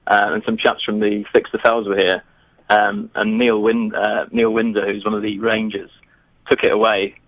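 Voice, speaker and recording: A man's voice: fairly low pitch, little pitch movement, normal speed, a bit bright, no room sound, highs cut off. A man, answering interview questions in a chatty, hesitant way with many restarts. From northern England. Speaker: guest, geography teacher. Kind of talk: remote interview.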